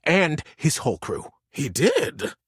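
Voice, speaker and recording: A man voices a fretful storybook animal from a building crew, gossipy and uneasy. The voice jumps up high and swoops about as it wonders aloud. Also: huge pitch swings, slow, fairly bright tone, slightly rough, no echo, clean studio sound, full character voice. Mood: worried, curious.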